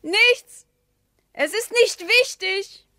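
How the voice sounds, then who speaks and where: A needling, sceptical wife in a radio drama. Her high voice is lively and varied in pitch. She delivers terse, pointed lines with bitter, sardonic mockery, recorded close and dry. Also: very slow, dry recording, full character voice. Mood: mocking, needling. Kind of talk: German radio drama acting.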